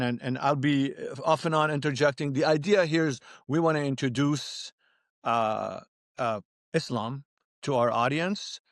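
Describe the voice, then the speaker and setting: Halting male podcast host, dry close sound. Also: mid-range voice, dry recording. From Lebanon. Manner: halting, repeats words. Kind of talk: conversational interview introduction.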